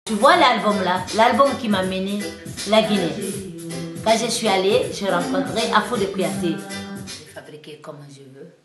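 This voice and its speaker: A woman's voice: medium pitch, normal speed, echoey room, over music. A woman from Guinea with a Guinean French accent, talking as a singer in an interview, in simple, broken French full of restarts that grows heated and emphatic. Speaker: singer and musician.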